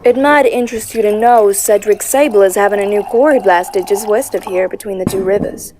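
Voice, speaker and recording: A woman voicing a poised, wry female cat who runs a speakeasy, genteel and unhurried, in a comic dub. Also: medium pitch, noisy background, close to an everyday voice. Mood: sly, poised. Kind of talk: animated comic dub acting.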